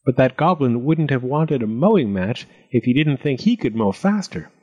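Man playing a mild, crafty farmer, lilting pitch. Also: lively intonation, moderate pace, dark tone, highs cut off, lightly acted. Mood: worried. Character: mild, shrewd male farmer, worried yet crafty.

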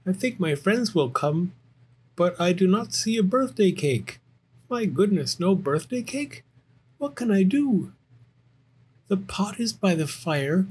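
Man voicing an eager high-pitched little bear cub. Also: animated, a little slow, a little mellow, slightly rough, full character voice. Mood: worried, hopeful. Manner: childlike, earnest exclamations. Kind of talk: children's storybook character voice.

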